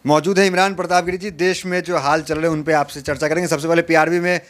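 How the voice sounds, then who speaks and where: A man's voice: fairly high pitch, steady tone, quick pace, fairly bright tone. A man working as a news interviewer, putting loosely phrased, informal questions to his guest.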